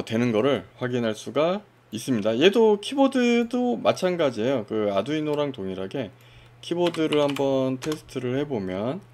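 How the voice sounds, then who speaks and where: A man casually walking through a software tutorial step by step, pointing things out as he goes. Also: medium pitch. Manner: casual step-by-step explaining with fillers. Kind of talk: screen-recorded software walkthrough.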